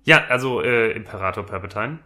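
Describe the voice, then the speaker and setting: A man's voice: on the low side, animated, brisk, bright, forceful tone. Laid-back man co-hosting a casual podcast. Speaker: podcast co-host. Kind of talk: casual chat.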